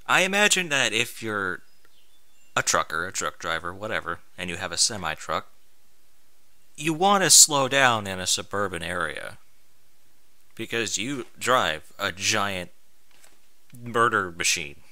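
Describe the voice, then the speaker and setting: A man's voice: fairly low pitch, big pitch swings, measured pace, long pauses, bright, forceful tone, no echo. A man reading a story aloud with exasperated, sarcastic, sweary commentary. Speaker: host reading and mocking amateur fan fiction aloud.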